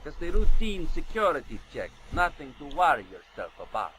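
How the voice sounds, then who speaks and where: A menacing game gangster with a highish, swooping delivery. He is smoothly polite on the surface and quietly threatening underneath, over faint noise. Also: very animated, moderate pace, poor audio. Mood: smooth menace. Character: smooth, menacing thug boss posing as official. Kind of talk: video game character acting.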